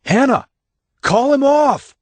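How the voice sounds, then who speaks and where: A man voicing a terrified man trapped in a pit, yelling and pleading desperately in a strained, husky voice. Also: fairly high pitch, slow, no echo, voice pushed up. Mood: panicked screaming.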